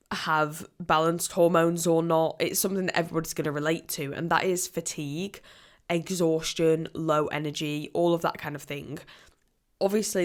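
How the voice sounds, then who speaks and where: A woman hosting her own health podcast, talking alone in a chatty, rambling way with a voice a bit croaky from a recent illness. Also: sits low. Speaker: podcast host. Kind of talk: solo health podcast talk.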